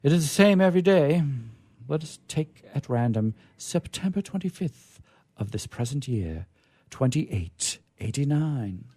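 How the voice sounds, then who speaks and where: A man giving a dramatic reading of vintage science fiction, theatrical and emphatic, with drawn-out phrases and pauses. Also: fairly high pitch, animated, warm tone. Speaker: reader performing a literary reading.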